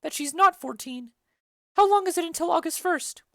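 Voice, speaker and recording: A woman voicing a chattering, bawdy old female nurse in a dramatic reading of a play, rambling and loud. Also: very high voice, moderate pace, vocal fry, dry recording, clean studio sound, exaggerated voice. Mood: gossipy. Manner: loud, rambling, giggly storytelling.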